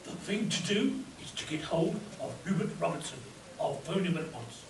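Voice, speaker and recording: Heard from a distance over crowd noise, a man acts a formal, inquisitive lawyer in a pulp radio spoof. His voice is high and animated, brisk with suspicion. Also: creaky. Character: Ham, brisk suspicious lawyer, male adventurer's aide. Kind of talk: spoof radio play acting. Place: convention stage before an audience. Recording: echoey room from afar, audience noise.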